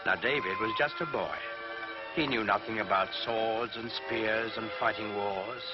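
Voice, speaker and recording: A man narrating a scripted children's Bible story in a measured, theatrical, emphatic storytelling manner. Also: fairly low pitch. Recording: dull lo-fi recording, loud music behind. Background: loud music.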